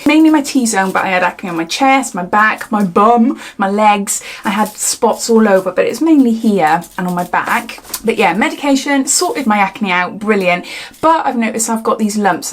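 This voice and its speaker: A British woman in her forties, chatting casually through a makeup tutorial in a rambling, friendly way with frequent laughs. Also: medium pitch. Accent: British English. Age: 40s. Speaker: beauty vlogger.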